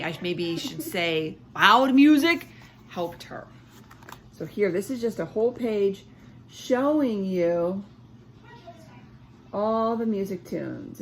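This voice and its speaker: A woman teacher reads aloud in an expressive storybook style, with a mid-range voice. She speaks emphatically, in an explaining, questioning way, over faint background noise. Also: medium pitch. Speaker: teacher reading aloud to children.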